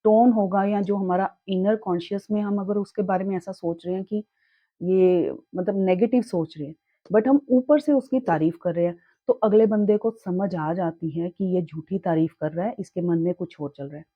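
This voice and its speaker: A young woman teaching in a calm, reassuring way, with a sore throat that makes her clear it often. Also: mid-range voice, moderate pace, dry recording, muffled recording. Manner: calm, reassuring, mixes English words into her talk. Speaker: chakra healing teacher. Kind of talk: casual spoken tutorial.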